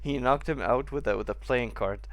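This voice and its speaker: A man's voice: mid-range voice, brisk, a little hiss or hum. A man giving casual gameplay commentary, reacting aloud and puzzling over the story as he plays. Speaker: streamer playing a story game.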